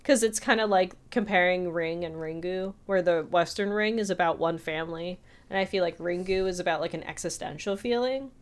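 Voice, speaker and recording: A woman's voice: fairly low pitch, a little fast, few pauses, clean tone. A woman co-hosting a podcast, talking through a film review in a casual, chatty, hedging way. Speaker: podcast co-host.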